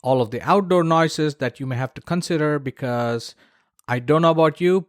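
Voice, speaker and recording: A man's voice: mid-range voice, no room sound, clean studio sound. A man hosting a solo podcast, explaining things conversationally with casual, emphatic asides to the listener. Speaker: podcast host.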